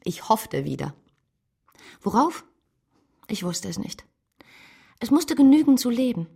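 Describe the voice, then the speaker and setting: An actress playing an earnest, lovestruck woman who narrates her own life in a measured, literary radio drama style. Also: a little high, sing-song, creaky, warm tone, breathy edge, heavily acted. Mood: wistful, searching.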